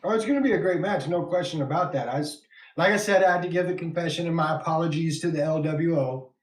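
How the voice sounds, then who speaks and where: A man's voice: a little high, fast talker, roomy sound, low-quality recording. A man from West Texas with a West Texas accent, hosting a casual wrestling podcast, laughing often and reading viewer comments aloud. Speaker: podcast host.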